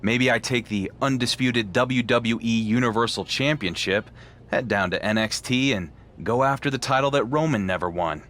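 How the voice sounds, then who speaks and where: An actor playing a confident, sardonic champion wrestler in a video game cutscene. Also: male voice, medium pitch, normal speed, a bit bright, slight background noise, natural voice. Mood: cool, challenging. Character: defiant, dry-witted male champion wrestler.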